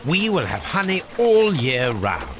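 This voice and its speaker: A brilliant Victorian detective for a video game, English-accented and self-assured. His mid-pitched voice swings expressively, with precise, formal phrasing and a dry, teasing wit. Faint ambient noise sits behind him. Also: huge pitch swings, measured pace. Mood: cheerfully eccentric.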